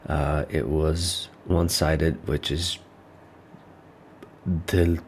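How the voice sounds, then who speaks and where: A man's voice: extremely low pitch, steady tone, extremely slow, a little hiss or hum. A man hosting a casual reaction video, nasal and stuffed up from allergies, rambling as he thinks aloud. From North Macedonia.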